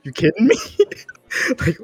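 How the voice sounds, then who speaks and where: Laid-back swearing young man. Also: takes it slowly. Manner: laid-back, swears freely, laughs often. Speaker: podcast co-host. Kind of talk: casual chat.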